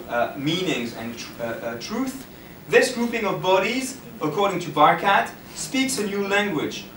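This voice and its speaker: A man reading an academic paper aloud at a conference, halting at times and correcting himself as he stumbles. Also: high-pitched, fairly bright tone. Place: indoor conference room. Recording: echoey room, distant mic, faint noise.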